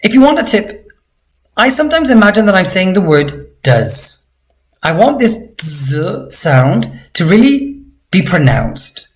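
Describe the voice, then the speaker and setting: Slow, patient male language teacher. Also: high-pitched, big pitch swings. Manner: patient, explanatory, repeats words slowly for practice. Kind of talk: online language lesson.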